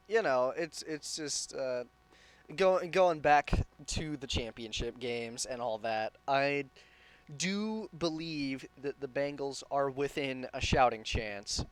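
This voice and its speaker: A man on a sports podcast panel, chatting casually in an informal, somewhat halting way. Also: mid-range voice.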